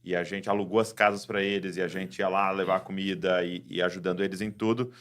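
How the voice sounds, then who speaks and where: A Brazilian man hosting a podcast, talking in a relaxed, affirming, conversational way. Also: low-pitched, quick pace, background noise. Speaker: podcast host. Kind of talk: casual interview talk.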